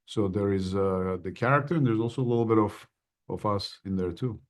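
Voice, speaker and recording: A man, an actor and interview guest, answering in a measured, thoughtful and slightly formal way. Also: on the low side. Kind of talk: casual interview talk.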